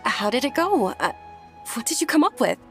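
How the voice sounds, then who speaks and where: An actress playing a gentle young noblewoman who speaks softly and earnestly, with faint music behind. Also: high voice, very animated, normal speed, full character voice. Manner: soft, polite, earnest.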